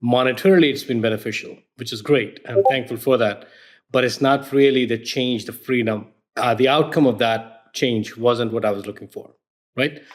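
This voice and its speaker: A man with an Indian accent talks as a podcast guest, at a middle pitch. He is thoughtful and reflective, and he often doubles back on his words while working out a thought. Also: moderate pace. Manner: reflective, repeats words as he thinks. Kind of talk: conversational interview.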